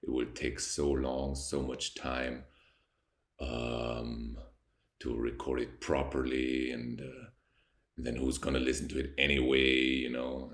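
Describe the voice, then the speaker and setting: Young Austrian man, deep gravelly voice, German accent, slow reflective to-camera chat in an echoey apartment. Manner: slow, reflective, pausing often to search for words. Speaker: vlogger. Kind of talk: unscripted talking to camera. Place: high-rise apartment by a window.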